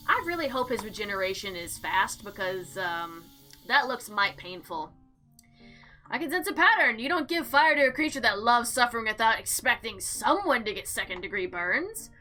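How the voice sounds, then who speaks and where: A woman giving casual, chatty gameplay commentary and reading visual novel text aloud in an expressive, theatrical way. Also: a little high. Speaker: game player and narrator. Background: background music.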